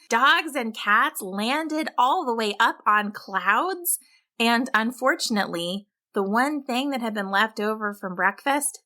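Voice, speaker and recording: A woman reading a scripted children's story as a storyteller, with an expressive, deliberate delivery. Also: medium pitch. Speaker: storyteller, podcast host.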